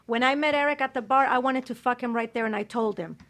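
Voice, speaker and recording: A woman's voice: on the high side, quick pace, a bit bright. A woman co-hosting a crude casual chat show, blunt and profane.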